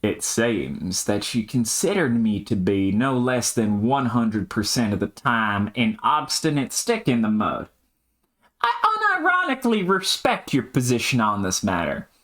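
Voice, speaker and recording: A man voicing an aloof, pedantic artificial intelligence in amateur scripted dialogue, deadpan and brusque, with wordy, technical phrasing. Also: medium pitch, a little slow.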